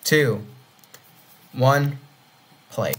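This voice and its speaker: A young man whose first language is Dutch, rambling excitedly while reacting to an animation and thinking out loud. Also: medium pitch, extremely slow. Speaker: reaction video host. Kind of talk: casual reaction commentary.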